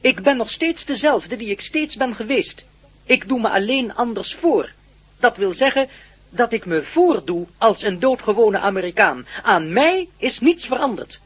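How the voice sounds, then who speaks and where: A man's voice: very high voice, expressive, measured pace, husky, full character voice. A man playing a polite, candid alien in a radio play, speaking in a formal, matter-of-fact way. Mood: calm, explanatory. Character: polite, candid alien being observing humankind.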